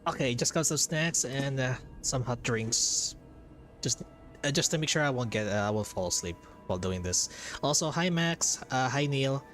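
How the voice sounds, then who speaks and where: A man's voice: mid-range voice, expressive, normal speed, a bit bright. A man chatting casually with viewers while livestreaming a game. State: hiccups. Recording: game music behind the voice. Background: game music.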